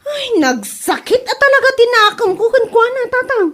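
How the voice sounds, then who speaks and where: A woman's voice: very high-pitched, sing-song, moderate pace, fairly bright tone, heavily acted. A woman acting an aggrieved friend who complains indignantly in an amateur comedy drama. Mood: indignant, complaining. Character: aggrieved woman scolding her friend over an insult.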